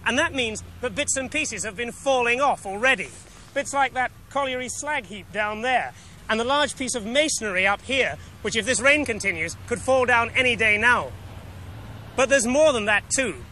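High, bright-voiced English male TV reporter. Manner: wry, measured delivery with deliberate dramatic pauses. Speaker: television news reporter. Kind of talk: scripted investigative report, to camera and voice-over. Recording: 1970s TV film sound, background noise.

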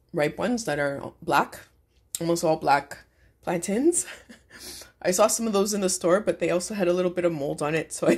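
Female home-cooking host, low-pitched, casual and explanatory. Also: a little mellow, breathy edge.

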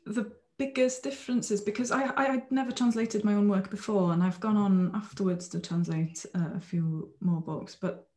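A woman's voice: medium pitch, steady tone, moderate pace, few pauses, darkish tone. A Welsh woman in her thirties, a Welsh-first-language author, speaking thoughtfully and with hesitations on a video call from a small room at home. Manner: thoughtful, hesitant, repeats words as she thinks. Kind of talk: relaxed interview talk.

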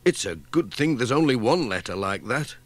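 A man's voice: medium pitch, sing-song, normal speed, no room sound. Man as an easygoing, kindly village postman. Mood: reassuring. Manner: easygoing, reassuring.

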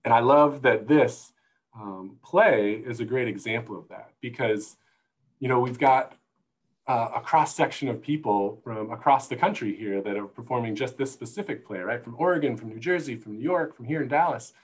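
A middle-aged man explaining things as a panel guest on a video call, in thoughtful, halting phrases, on an earbud mic. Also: medium pitch. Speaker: guest, zoo conservation manager. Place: indoor room, video call. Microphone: wired earbuds headset.

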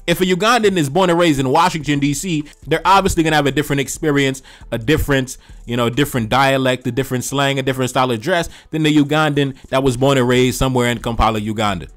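A man's voice: mid-range voice, fast talker, hardly any pauses, a bit bright. A middle-aged man giving opinionated commentary as a show host, emphatic, repetitive and slangy.

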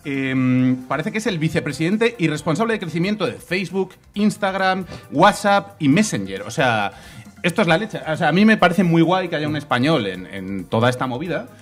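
Comedy podcast co-host: man, thirties, lively up-and-down delivery, light music underneath. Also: a little high, moderate pace. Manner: jokey, animated, repeats phrases. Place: small dark bar stage with live audience. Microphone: desk mic on boom arm.

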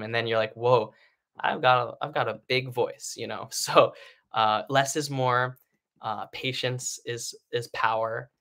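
A man in his thirties with a bright, forward voice, teaching singing on a live stream from home. He talks in a loose, encouraging way, with lots of "um" and "like" and frequent restarts. The sound comes from a webcam mic in a living room. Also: mid-range voice, gravelly. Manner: chatty, encouraging, many fillers and restarts.

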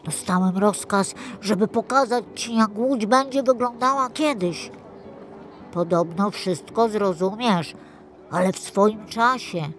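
A woman's voice: medium pitch, slow, soft background music, natural voice. A woman playing a kindly, solemn magical fish messenger in a children's audio story, reassuring and mysterious.

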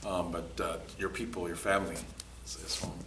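Middle-aged guy, really low voice but bright tone, laid back, echoey room. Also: level tone, fairly quick, slight background noise. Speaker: interviewee. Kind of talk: short interview answers. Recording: echoey room, distant mic.

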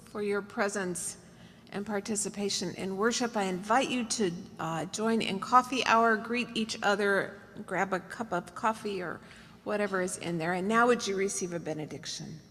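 A middle-aged woman pastor leading worship at a podium microphone, speaking in a measured, solemn way. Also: mid-range voice, fairly bright tone, slight background noise. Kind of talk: leading worship, announcements and benediction. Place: large indoor church meeting room. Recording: reverberant hall sound.

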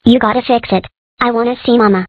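A woman's voice: fairly high pitch, steady tone, quick pace, dry recording, narrow, tinny audio, lightly acted. A woman voicing a small, pouty, childish lightning bug creature in a cartoon comic dub, whiny and childlike. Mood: anxious, pleading. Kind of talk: comic dub character acting.

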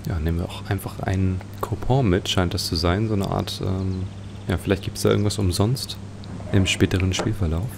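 A man's voice: sits low, fairly even intonation, moderate pace. A man commenting casually on a video game, musing aloud as he describes what he sees. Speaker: let's play host. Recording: clearly noisy home recording.

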